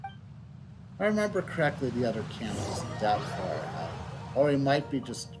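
A middle-aged man livestreaming a game, chatting casually in a rambling, halting way and reading out on-screen text. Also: medium pitch, sing-song. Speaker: game streamer. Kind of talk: casual livestream chat and read-out. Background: game music.

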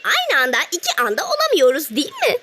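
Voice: high-pitched, wildly swooping pitch, fast talker, bright, forceful tone, slight background noise, exaggerated voice. A performer voicing a cheerful, wise little cartoon ladybug who teaches patiently with coaxing questions. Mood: gently instructive. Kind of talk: children's cartoon dialogue.

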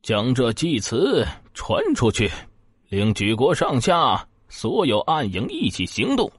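A man's voice: medium pitch, very animated, slow, husky, dry recording, studio quality, full character voice. An actor playing a prematurely aged, commanding yet anxious ancient Chinese king in a formal, imperious audio drama style. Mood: cold, resolute.

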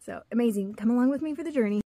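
A woman's voice: on the high side, very fast, warm tone, breathy edge, dry recording. Chatty woman vlogging on a hike. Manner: chatty, upbeat, self-filming while hiking. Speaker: hiking vlogger. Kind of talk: casual trail vlog narration. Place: outdoors in mountain woods. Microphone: phone held at arm's length.

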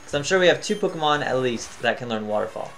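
A man's voice: medium pitch, expressive, fast. Man, tired, casual gameplay commentary, chatty, thinks aloud, faint background music. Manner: chatty, thinks out loud, hums to himself. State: tired, voice near cracking. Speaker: gaming channel host.

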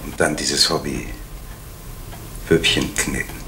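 A man's voice: rumbling low, takes it slowly, vocal fry, pushed and bright, a little hiss or hum, exaggerated voice. An actor playing a strict middle-aged male postal official and father, stern and commanding, in 1970s radio drama style. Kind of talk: 1970s East German radio drama acting.

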